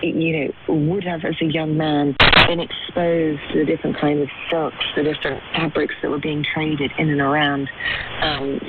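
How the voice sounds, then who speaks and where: Woman on very noisy shortwave radio. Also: low-pitched. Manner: articulate, emphatic with descriptive adjectives. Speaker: exhibition curator and art historian, interview guest. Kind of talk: interview, explaining art. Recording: tinny shortwave radio, loud static noise. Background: loud shortwave static and clicks.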